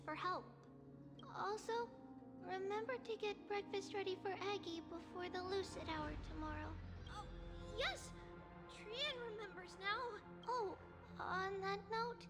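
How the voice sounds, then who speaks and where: A woman voicing a tiny, sweet and solemn childlike girl oracle in a video game, in a halting, cutesy manner. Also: extremely high pitch, in a large hall. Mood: hesitant.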